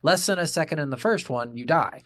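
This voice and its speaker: A man's voice: fairly high pitch, animated, fairly quick, crowd noise. A man co-hosting a podcast, chatting casually about video games in a blunt way and swearing freely. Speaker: podcast host. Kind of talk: casual video game review chat.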